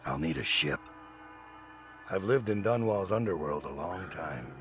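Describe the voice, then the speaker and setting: An actor playing a grim, weathered assassin of the criminal underworld, speaking in terse, deliberate lines in a brooding game monologue. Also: male voice, low voice, lively intonation. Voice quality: gravelly. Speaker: player character narrator. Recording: loud music behind the voice.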